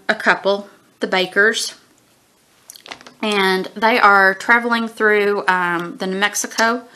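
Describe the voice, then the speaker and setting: Give me a woman with allergy sniffles and a bright voice, unhurried and chatty, clearing her throat as she reviews a book. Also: medium pitch. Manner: chatty, rambling, frequent ums and throat-clearing. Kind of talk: casual spoken book review. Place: small room at home, indoors. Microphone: webcam at desk distance.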